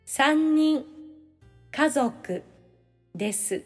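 A woman reading scripted practice sentences for language learners, with clear, instructional phrasing. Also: high voice, expressive, very slow, long reverb tail, soft background music. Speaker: language-lesson reader.

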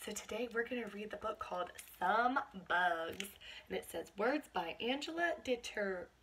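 A woman's voice: mid-range voice, animated, normal speed, vocal fry, a bit bright, slight background noise. A woman, reading a picture book aloud to young children and speaking expressively to them like a teacher. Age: 20s. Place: small bedroom at home.

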